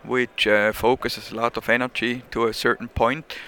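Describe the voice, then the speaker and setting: Gravelly Swiss-accented man. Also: medium pitch, level tone, normal speed, bright, forceful tone. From Switzerland. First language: not English. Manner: hesitant, many uh fillers and restarts. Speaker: trade show exhibitor. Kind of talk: casual interview.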